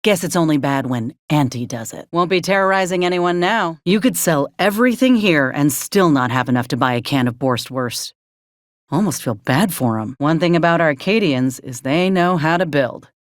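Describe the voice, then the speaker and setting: A woman's voice: deep voice, normal speed, dry recording, close to an everyday voice. A woman playing a wry, plainspoken former combat medic in a video game, loyal to her company, with dry, sardonic, folksy phrasing.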